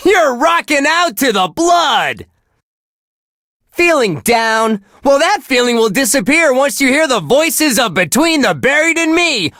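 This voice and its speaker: A man's voice: very high-pitched, sharp tone, no echo, clean studio sound, heavily acted. An actor playing a relentlessly cheerful male radio DJ at a death metal station, gushing and wholesome in his patter. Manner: gushing, sunny, wholesome delivery. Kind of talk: upbeat radio host patter.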